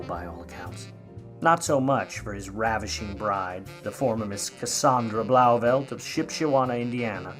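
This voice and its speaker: An actor playing a sardonic, world-weary 1950s Hollywood private eye in a radio play, with hard-boiled delivery. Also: male voice, on the low side, close to an everyday voice. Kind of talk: noir radio play narration and dialogue.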